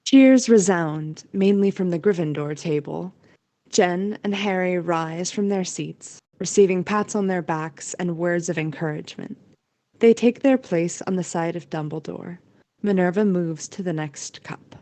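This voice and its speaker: A woman's voice: fairly low pitch, measured pace, mellow, no room sound. A woman narrating a scripted audio drama as its storyteller.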